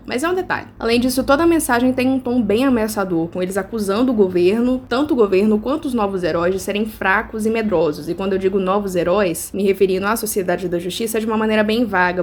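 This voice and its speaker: A woman's voice: medium pitch, darkish tone, a little hiss or hum. A woman with a Brazilian accent hosting an informal video commentary, explaining casually with playful asides.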